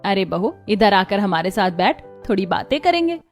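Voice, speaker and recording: A woman voicing a kindly middle-aged mother-in-law in a Hindi cartoon story, speaking in a soft, coaxing way. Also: medium pitch, very animated, normal speed, soft background music. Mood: welcoming, gentle.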